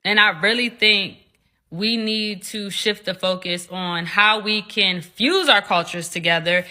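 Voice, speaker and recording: Bright-voiced woman in her twenties. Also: mid-range voice, unhurried. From United States. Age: 20s. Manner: earnest and emphatic, many "like" fillers. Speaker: discussion participant. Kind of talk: casual discussion.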